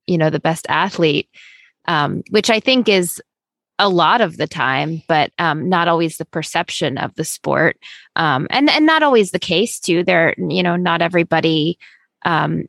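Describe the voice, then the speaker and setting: A woman hosting an interview podcast, speaking casually and haltingly with many fillers. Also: fairly low pitch, vocal fry, no room sound, studio quality. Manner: halting, lots of fillers and restarts. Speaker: podcast host.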